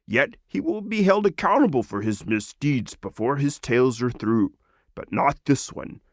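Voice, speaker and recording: A man's voice: medium pitch, expressive, moderate pace, no room sound, studio quality, pitched above the performer's own voice, lightly acted. A man voicing a proud, noble lizard wizard in a storybook, speaking in a solemn, declamatory way. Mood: solemn, earnest.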